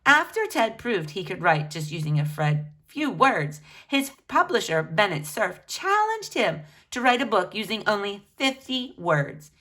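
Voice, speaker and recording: Woman reading to children, very lively intonation. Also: mid-range voice, measured pace, few pauses. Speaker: read-aloud storyteller for children. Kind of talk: reading a children's biography picture book aloud.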